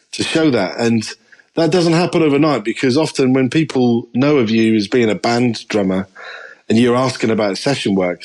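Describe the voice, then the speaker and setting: A man's voice: mid-range voice, darkish tone. A middle-aged English drummer, a guest on a podcast, telling his career story in a candid, reflective way. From England. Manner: reflective, candid storytelling with frequent "you know". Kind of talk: podcast interview.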